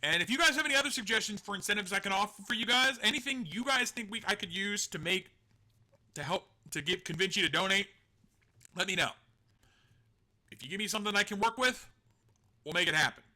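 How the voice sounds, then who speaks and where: A man's voice: high voice, lively intonation, fairly quick, pauses often, bright, forceful tone. A man hosting a live stream, chatting casually and rambling, joking at his own expense, laughing and swearing now and then. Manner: rambling, self-deprecating, laughs often, swears. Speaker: online show host.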